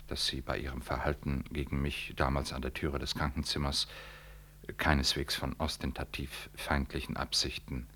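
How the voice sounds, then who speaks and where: Very deep-voiced, solemn priest. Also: male voice, gravelly, fairly bright tone, heavily acted. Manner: deliberate, ceremonious. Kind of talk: German 1960s radio drama acting. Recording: 1960s radio recording, faint noise.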